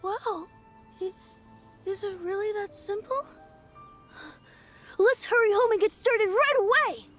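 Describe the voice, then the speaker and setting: A woman's voice: very high voice, takes it slowly, pauses often, a bit bright, faint music behind, full character voice. A woman voicing a small, earnest, stammering child in a video game, timid but eager. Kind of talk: cartoonish game voice acting.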